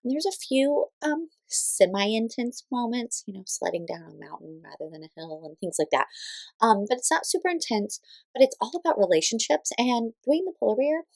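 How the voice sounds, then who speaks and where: A woman's voice: fairly high pitch, animated, normal speed, gravelly, a little mellow, dry recording, low-quality recording. A woman in her thirties giving casual book recommendations as a parent, informally and a little hesitantly, thinking aloud as she explains. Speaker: parent reviewing children's read-aloud books.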